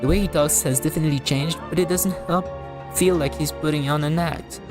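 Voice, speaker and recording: A woman's voice: low-pitched, steady tone, a little fast, over music. A woman game streamer giving casual live commentary as she reads through a story game. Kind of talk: live game commentary and reading dialogue aloud.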